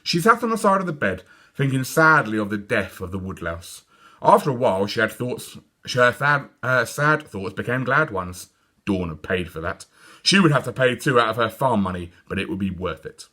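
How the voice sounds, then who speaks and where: A man with a British accent narrating a children's story in a deliberate, expressive storytelling manner. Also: medium pitch. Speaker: story reader.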